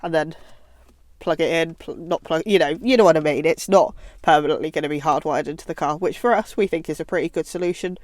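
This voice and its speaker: A woman's voice: sits low, fast talker, no echo. A British woman giving a casual how-to chat as a vlog presenter inside a car.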